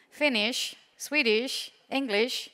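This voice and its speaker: A woman with a Danish accent, a native Danish speaker, chairing a session and making a measured stage introduction with pauses. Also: fairly high pitch, sharp tone. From Denmark. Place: large conference hall on a stage.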